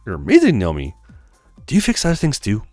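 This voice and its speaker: Man voicing a hesitant, gentle young woman, very animated. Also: lowish voice, fast, no room sound, soft background music. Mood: grateful. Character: shy, hesitant, kindly young woman with regrets. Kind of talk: acted visual novel read-aloud.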